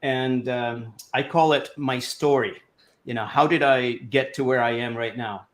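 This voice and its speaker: A man's voice: medium pitch, steady tone, unhurried. A man in his fifties, originally from Lebanon, talks through his life story as an interview guest. He rambles a bit, stacking fillers and restarting his sentences, and he sounds like he is on a video call.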